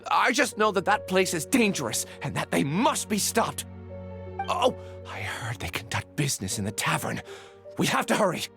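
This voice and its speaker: A man's voice: high-pitched, very animated, normal speed, gravelly, a bit bright, faint music behind, heavily acted. An actor playing an anxious young male scholar in a video game, shaken, agitated and urgent.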